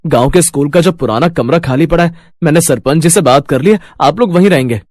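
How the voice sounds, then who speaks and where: A man's voice: fairly high pitch, a little fast, darkish tone, dry recording, clean studio sound, lightly acted. A man voicing an earnest, bold young village hero in a cartoon moral story. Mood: urgent.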